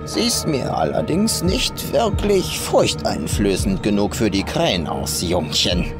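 A man's voice: lowish voice, big pitch swings, slow, over music. A man voicing a sinister old farm woman in a horror audiobook, folksy and coolly menacing in clipped sentences. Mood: mock-regretful, menacing. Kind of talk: audiobook horror story character.

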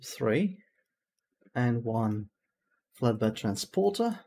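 A man's voice: mid-range voice, very animated, drawn-out words, warm tone. A man in his thirties whose first language is Dutch, chatting casually through a headset while playing a game at home and laughing at his own mistakes. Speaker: gaming streamer. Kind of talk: casual let's-play commentary. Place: small room at home.

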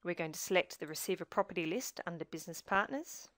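A woman presenting a software tutorial in scripted screencast narration, giving measured step-by-step instruction. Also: fairly low pitch, expressive, no echo, studio quality.

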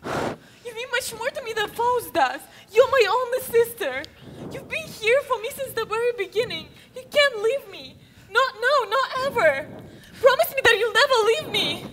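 A woman's voice: very high voice, expressive, moderate pace, fairly bright tone. A woman voicing a distraught, panicky young man who clings to his sister. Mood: pleading. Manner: emotional, panicky. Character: anxious, distraught young man rejected by family. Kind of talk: hobby voice drama.

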